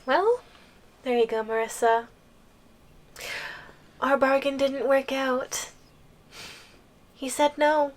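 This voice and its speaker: A woman playing an obsessive giantess girlfriend in an ASMR audio roleplay, coy and hesitant, with playful, sweet menace. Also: high-pitched. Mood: smug. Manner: slow, coy, hesitant phrasing with teasing menace.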